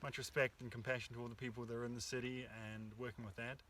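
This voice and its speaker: A man speaks calmly and reflectively, pausing often as he gathers his thoughts. He sits out in the countryside and the mic is some way off, with a faint hum of open air behind him. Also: medium pitch, recorded from a distance. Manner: calm, reflective, hesitant with fillers. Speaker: workshop co-host. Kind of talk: casual spiritual talk. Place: outdoors in the countryside under an oak tree.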